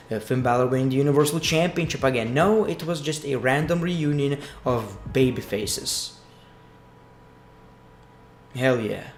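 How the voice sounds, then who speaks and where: A man from Lithuania with a Lithuanian accent, giving casual, opinionated wrestling commentary in a blunt, crudely joking way. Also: medium pitch. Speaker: wrestling news vlogger. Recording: echoey room with music underneath.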